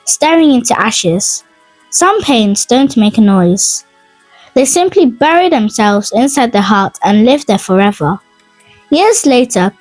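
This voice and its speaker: Woman, folk tale narrator, expressive, lively intonation, dry close sound, music behind. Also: a little high, dry recording, poor audio. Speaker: storyteller.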